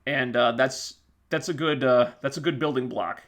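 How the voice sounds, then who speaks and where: A man's voice: mid-range voice, normal speed, fairly bright tone. A man hosting a live stream, losing his voice and rambling with many fillers and restarts as he thanks viewers. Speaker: YouTube channel host. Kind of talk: casual live stream wrap-up.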